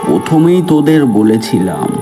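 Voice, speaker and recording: A man voicing a grave, knowing village uncle who tells and narrates a cartoon story in a deliberate, instructive manner. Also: medium pitch, very animated, over music, close to an everyday voice. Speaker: narrator and village elder character.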